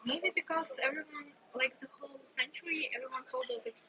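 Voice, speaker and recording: A woman's voice: high-pitched, fairly quick, heavy background noise. A young woman with a Ukrainian accent chatting outdoors as a guest, thoughtful and hesitant with many fillers. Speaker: guest friend. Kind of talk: casual conversation. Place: outdoors in a city square.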